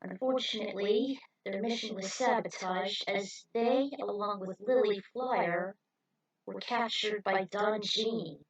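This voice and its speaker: Female storyteller, mid-pitched voice, scripted narration of an adventure tale. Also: measured pace, poor audio. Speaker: narrator reading own fan fiction.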